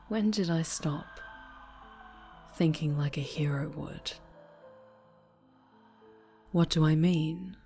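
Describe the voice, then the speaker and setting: A woman playing an obsessive, possessive dark-angel superheroine turned villain in an intimate ASMR roleplay, half-whispering with menacing tenderness. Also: on the low side. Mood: unhinged, shaken.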